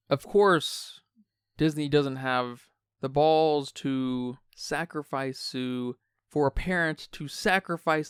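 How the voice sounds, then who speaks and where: Man, podcast co-host, creaky edge, crisp tone, dry close sound, casual, opinionated, wry. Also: medium pitch, dry recording. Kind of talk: casual film discussion.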